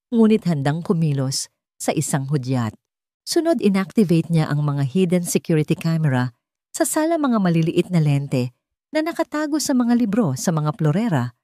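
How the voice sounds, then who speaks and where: A woman's voice: low-pitched, dark tone, no echo, clean studio sound. A woman narrating a scripted drama story in a theatrical storytelling style. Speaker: audiobook narrator.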